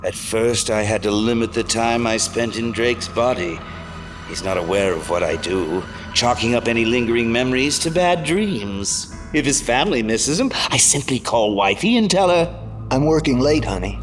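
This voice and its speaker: Guy doing a crazy gloating clown supervillain for an audio drama, super animated, mocking, music behind him. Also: mid-range voice, moderate pace, natural voice. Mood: smug, sinister. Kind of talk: audio drama villain acting.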